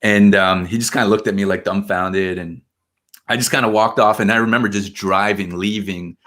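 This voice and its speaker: A man's voice: on the low side, moderate pace. Laid-back man from Argentina telling stories. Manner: relaxed storytelling, many fillers like "man" and "you know". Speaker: podcast guest. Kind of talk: casual conversational interview.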